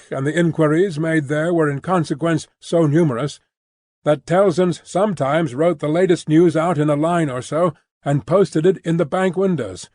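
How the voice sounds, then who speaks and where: A male narrator reads literary prose in a measured, careful way. His pitch sits somewhat high for a man. The recording is close and dry, with no sense of a room. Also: darkish tone, no echo. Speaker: audiobook narrator.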